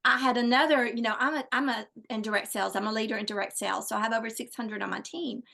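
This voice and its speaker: A woman who coaches at her church talks in an upbeat, motivational way, bringing faith into her advice. Her voice is bright and a little high, with a forceful edge. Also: fairly even intonation, quick pace, creaky. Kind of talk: interview chat.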